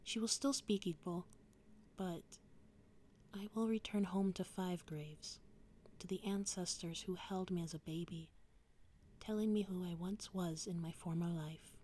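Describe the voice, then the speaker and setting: A young woman whispering softly through a slow ASMR poetry reading, drifting close to and away from the microphone. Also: fairly low pitch, steady tone, slight background noise. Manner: soft unvoiced whispering, varied mic distance.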